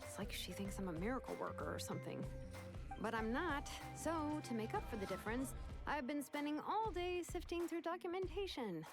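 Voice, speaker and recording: Young woman inventor for a game, wry n overworked, higher voice that jumps around a lot. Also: animated, a little fast. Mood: weary, wry. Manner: wry, hesitant, thinking aloud. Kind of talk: video game voice acting. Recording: faint game music under voice.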